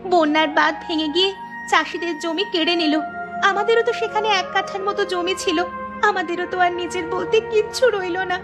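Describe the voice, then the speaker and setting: Woman as a high-voiced, sad little village girl. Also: fairly quick, music in the background. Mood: sad, plaintive. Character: poor, sad, frightened young village granddaughter. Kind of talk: dubbed cartoon dialogue.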